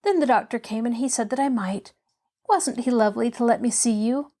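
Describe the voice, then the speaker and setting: A woman's voice: on the high side, brisk, dark tone, lightly acted. A woman voicing a cheerful, earnest little girl in a storybook, chattering on in an eager, artless, rambling way. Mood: eager, glad. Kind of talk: children's storybook character voice.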